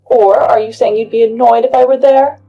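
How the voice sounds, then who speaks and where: A woman's voice: fairly high pitch, steady tone, moderate pace, gravelly, muffled recording, faint music behind. A woman voicing a pushy, sweetly insistent middle-aged mother-in-law who talks in a breezy, overbearing way.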